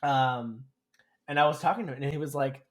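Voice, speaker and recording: A man's voice: medium pitch, brisk. A man co-hosting a podcast, telling stories in casual slang and acting out what other people said. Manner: animated slangy retelling, quoting others in dialogue. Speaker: podcast co-host. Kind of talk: casual chat, storytelling.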